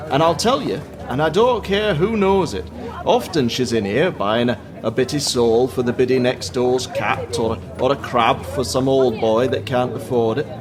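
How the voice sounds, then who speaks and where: A man's voice: mid-range voice, normal speed, crowd noise, lightly acted. A man playing a cheery, joking Scottish fishmonger bantering in a radio play. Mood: admiring. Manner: jokey, salesman-like banter. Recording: busy street bustle behind voice.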